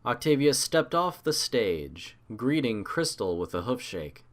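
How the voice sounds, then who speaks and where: A man reads a scripted story as its narrator. His voice is medium in pitch and moves up and down in a very animated way. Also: unhurried.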